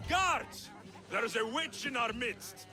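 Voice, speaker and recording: An actor playing a tough but wry and kindly mercenary in a video game. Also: male voice, extremely high pitch, animated, slow pace, music in the background, full character voice. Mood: shouted alarm. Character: tough male Greek mercenary, gruff but kind, wry. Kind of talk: video game cutscene acting.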